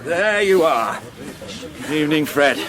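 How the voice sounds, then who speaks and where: A tetchy, world-weary male inspector for a British radio drama. His pitch moves around in an animated way, while his manner stays brusque and clipped, full of dry grumbling. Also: slow, crowd noise.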